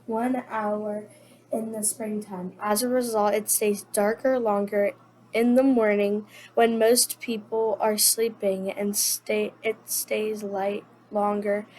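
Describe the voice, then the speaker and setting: A woman's voice: medium pitch, flat delivery, slow pace, a little mellow, low-quality recording. A female co-reader carefully reading a children's picture book aloud, with some noise in the recording. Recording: noticeable background noise.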